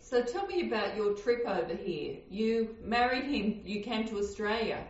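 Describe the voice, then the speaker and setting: A woman's voice: medium pitch, expressive, moderate pace, darkish tone. Woman interviewer, patient, short clarifying questions, roomy sound. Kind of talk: oral history interview. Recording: roomy, dull lo-fi recording.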